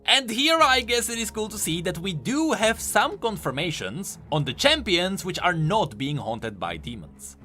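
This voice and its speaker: A man's voice: high-pitched, sing-song, moderate pace, hardly any pauses, bright, forceful tone, soft background music. A man giving enthusiastic, explanatory commentary on video game lore, leaning hard on key words for emphasis.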